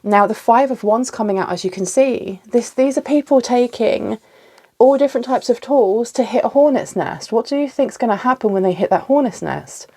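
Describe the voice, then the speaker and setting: A woman's voice: fairly high pitch, expressive, moderate pace, a little mellow. A woman giving a solo tarot reading, musing aloud and asking the cards for clarity, with a slight cough and sniffles. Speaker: tarot reader.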